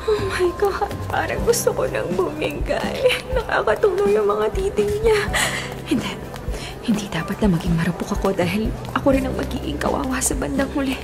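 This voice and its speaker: A woman's voice: high-pitched, normal speed, vocal fry, over music. An actress with a Filipino accent playing a guarded, bitter young woman who is secretly smitten, in a dramatic radio drama style. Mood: secretly giddy.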